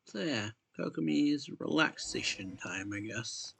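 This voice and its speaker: A man doing casual, low-key game commentary and reading on-screen text aloud, often correcting himself as he goes. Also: animated, unhurried, creaky, fairly bright tone, slight background noise. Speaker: game streamer reacting to story quest. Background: faint game music.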